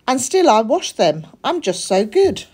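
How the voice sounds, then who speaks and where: An eager little boy cat from a children's storybook as a woman reader plays him. He is boastful yet earnest, speaking high and emphatically with lively swings in pitch. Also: unhurried, husky, exaggerated voice. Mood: proud, eager.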